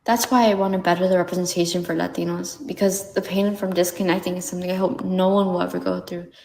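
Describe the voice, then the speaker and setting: A woman's voice: on the low side, level tone, fast, gravelly, a little mellow, reverberant, long reverb tail, slight background noise, low-quality recording. A young woman from Baltimore narrating her personal story in a reflective, heartfelt way. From Baltimore, United States. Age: young adult.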